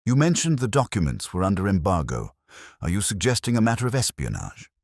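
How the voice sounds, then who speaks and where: A man voicing a shrewd, coolly analytical Victorian gentleman detective with precise, formal speech in an audiobook. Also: on the low side, very animated, brisk, no room sound, clean studio sound, voice pushed up. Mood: probing, intrigued. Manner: precise, formal, musing deductions.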